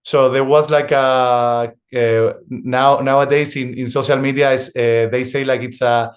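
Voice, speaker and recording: A young adult man from Spain with a Spanish accent, a guest in an interview, speaking English as a second language and talking haltingly, with frequent restarts as he searches for words. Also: medium pitch, fairly even intonation. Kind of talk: conversational interview.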